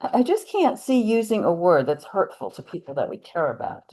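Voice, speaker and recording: Woman reading as a worried, low-voiced, lilting churchgoer. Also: very animated, a little fast, dark tone. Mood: worried, hesitant. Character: worried, tactful churchgoing woman uneasy about hurtful language. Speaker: reader in a readers theater dialogue. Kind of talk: scripted dialogue read as casual discussion.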